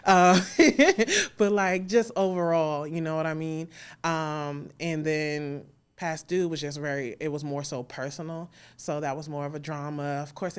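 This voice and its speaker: Woman with a clear, smooth voice, chatting informally. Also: deep voice. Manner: candid, informal, laughs easily while talking. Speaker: interview guest, audio drama creator and sound designer. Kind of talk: casual conversational interview at a live event.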